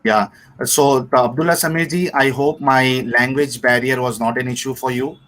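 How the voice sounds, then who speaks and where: A man hosting a livestream, speaking politely with many pauses and fillers. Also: medium pitch, fairly even intonation, fairly bright tone. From India. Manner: hesitant, many fillers, polite formal address. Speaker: livestream host. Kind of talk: casual livestream chat.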